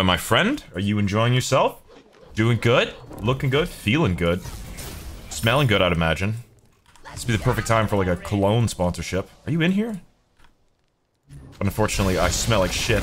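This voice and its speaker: Animated low-voiced young man gaming. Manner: jokey, slangy, profane, talking to himself and viewers. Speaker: video game streamer. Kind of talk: casual gaming commentary.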